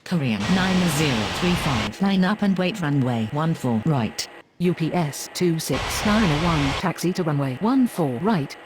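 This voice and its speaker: A man's voice: on the high side, lively intonation, unhurried. A man speaking clipped, procedural air traffic control radio phrases. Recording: clear engine-like background noise.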